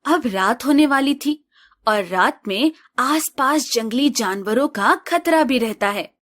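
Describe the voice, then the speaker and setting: Female storybook narrator, slow, even delivery, high-ish voice.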